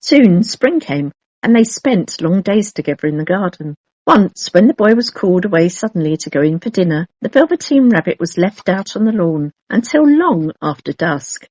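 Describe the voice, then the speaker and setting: A woman narrating a children's storybook in an expressive, measured storytelling manner. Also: lowish voice, no echo, highs cut off. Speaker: storybook reader.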